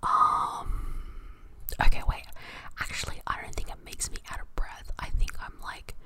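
Whispering woman, slow and breathy. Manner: casual, chatty, repeats words softly for ASMR. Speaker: podcast host. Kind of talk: whispered ASMR chat doing online quizzes. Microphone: close to the mic.

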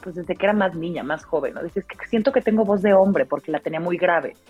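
A Mexican woman in her thirties with a deep, full-bodied voice, telling personal stories casually as an interview guest, in an expressive way. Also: fairly quick, breathy edge. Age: 30s. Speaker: interview guest, radio announcer. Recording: dull lo-fi sound, music underneath.

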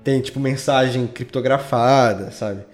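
A man with a Brazilian accent talks casually as a podcast guest. His voice is medium in pitch and his phrasing loose and slangy, with faint music behind it. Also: measured pace, a little mellow. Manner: informal, slangy. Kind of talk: casual chat.

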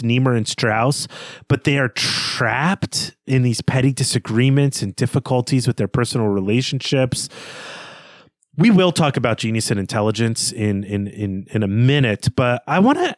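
A man hosting a podcast, talking analytically about literature in a halting, discursive way that circles toward his point. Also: medium pitch, no room sound, clean studio sound. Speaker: podcast host.

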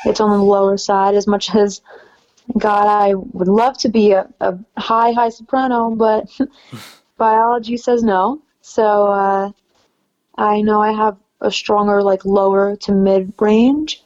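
Soft raspy-voiced woman, mellow and chatty. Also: medium pitch, slow pace, muffled recording. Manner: relaxed, chatty, many fillers. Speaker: singer-songwriter guest. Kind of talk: casual interview chat.

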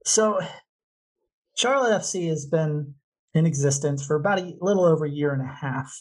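A man's voice: fairly high pitch, normal speed, low-quality recording. A man hosting a podcast, interviewing a guest in a casual way.

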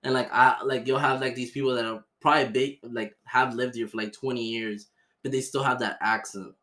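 A man's voice: medium pitch, fairly even intonation, a little fast, bright, forceful tone, reverberant. A man from Miami hosting a podcast, chatting in a laid-back, slangy way with lots of fillers. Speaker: podcast host. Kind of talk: casual chat.

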